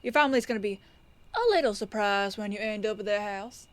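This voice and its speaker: A woman playing a composed police detective in an audio roleplay, firm yet kindly, with a measured, folksy, knowing delivery. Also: medium pitch, no room sound, lightly acted. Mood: gentle, reassuring.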